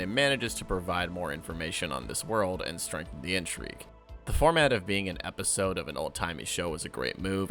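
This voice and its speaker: Deep, gravelly, animated man. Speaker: guest co-commentator. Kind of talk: scripted recap and review narration.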